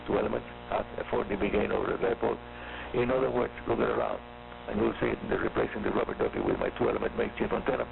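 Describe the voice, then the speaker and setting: A man with a Cuban accent hosting a scripted amateur radio program, explaining in a brisk, clear way and spelling things out phonetically. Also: lowish voice. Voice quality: gravelly. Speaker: radio show host. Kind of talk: scripted radio talk for hobbyists. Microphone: studio microphone heard over a radio receiver. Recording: tinny shortwave radio reception with mains hum and static. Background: hum and static.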